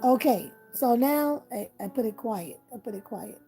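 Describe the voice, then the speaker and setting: A woman with a high voice hosts a live tarot reading and gives earnest, encouraging advice. She repeats her points and keeps checking in with her listeners. Faint music plays behind her. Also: animated, moderate pace, dark tone. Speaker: tarot reader hosting a live stream.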